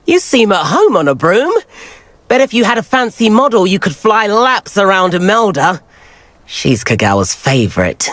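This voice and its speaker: An eager teenage schoolboy in a fantasy game. He is chatty and well-spoken, with a very lively melody and a close, dry sound. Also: high-pitched, moderate pace, no echo. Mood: friendly, wistful. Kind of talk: video game character acting.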